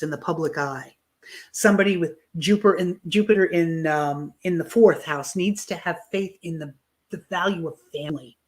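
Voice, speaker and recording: A middle-aged woman astrologer, losing her voice, explaining planetary cycles in a live online talk, often restarting her phrases. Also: fairly low pitch. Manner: explanatory, with frequent restarts and fillers. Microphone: video call.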